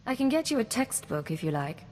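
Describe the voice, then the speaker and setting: A woman's voice: on the high side, sing-song, brisk, darkish tone. A woman playing a cool, self-assured intelligence agent in a video game, composed and wry. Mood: wry, teasing. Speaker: game player character. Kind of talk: scripted game dialogue acting. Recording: reverberant hall, faint background noise.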